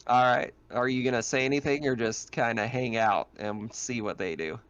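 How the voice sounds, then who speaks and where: A man's voice: mid-range voice, normal speed, a bit bright, smooth, muffled recording. Casual man running a tabletop game. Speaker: game master.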